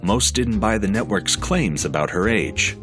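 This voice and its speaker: Deep-voiced male documentary narrator. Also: expressive, music in the background. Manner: measured, informative delivery. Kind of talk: scripted narration.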